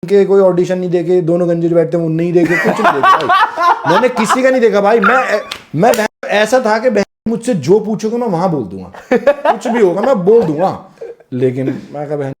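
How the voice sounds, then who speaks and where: A man in his thirties, a podcast guest, telling stories in a casual, animated way, swearing freely and acting out the people in them. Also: sits high, brisk, a crowd in the background. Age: 30s. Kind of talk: casual storytelling, mixing Hindi and English. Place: podcast studio.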